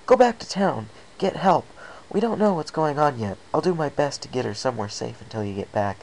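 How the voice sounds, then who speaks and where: A man voicing a brave winged pony, quick-thinking and protective, with an urgent, commanding manner in a storybook reading. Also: fairly high pitch, lively intonation, vocal fry, a little mellow, a little hiss or hum, pitched above the performer's own voice, low-quality recording.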